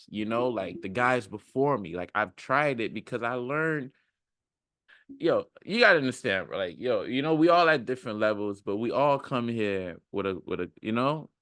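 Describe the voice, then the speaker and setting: Low-voiced man in a casual motivational ramble. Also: a bit bright, no echo, studio quality. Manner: rambling, repeats phrases for emphasis. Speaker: self-development video creator. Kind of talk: casual motivational monologue to viewers.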